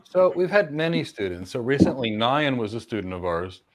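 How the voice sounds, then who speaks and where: A middle-aged man, a career coach, answering viewer questions in a casual live Q&A, talking confidently in long runs with repeated words and quick asides. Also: medium pitch, big pitch swings. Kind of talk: live Q&A, casual explanatory talk.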